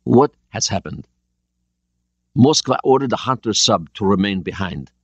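A man voicing a stern older male Soviet submarine captain who reasons out his plan calmly and deliberately. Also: lowish voice, no echo. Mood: calm, calculating. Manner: calm, deliberate, reasoning aloud.

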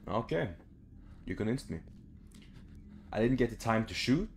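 A man's voice: mid-range voice, expressive, a little slow, a little hiss or hum. A young man giving casual, sweary game commentary, talking to himself as he reacts. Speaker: gaming YouTuber. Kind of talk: casual horror game let's play commentary. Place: home room, indoors.

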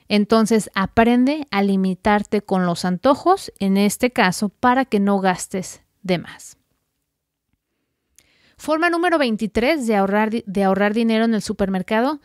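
A woman's voice: mid-range voice, no echo, clean studio sound. A woman hosting a podcast, giving practical money-saving tips in a friendly, chatty, direct way. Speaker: podcast host. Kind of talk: casual advice podcast monologue.

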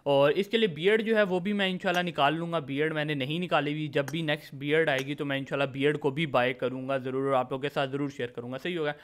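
A man's voice: on the high side, fairly even intonation, fast, few pauses. A man with a Pakistani accent doing a casual, informal game commentary voice-over, mixing in English words. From Lahore, Pakistan. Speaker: gaming video host.

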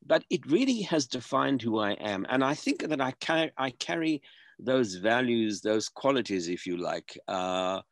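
A man in his seventies from South Africa, a guest on a video call interview, speaking earnestly and halting over repeated starts. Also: mid-range voice, very animated, dry recording, clean studio sound. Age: 70s. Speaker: guest filmmaker. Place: home room.

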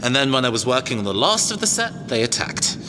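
A man's voice: mid-range voice, big pitch swings, fast, big-room sound, background noise, close to an everyday voice. A man playing a cagey, self-assured robot engineer mercenary in an audio drama, wry, conversational and hesitant. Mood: wry, recounting.

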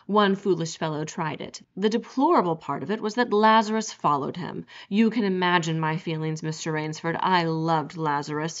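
A woman's voice: lowish voice, close to an everyday voice. A woman voicing a suave, menacing aristocratic general with a genteel, relishing manner, on a dull lo-fi recording.

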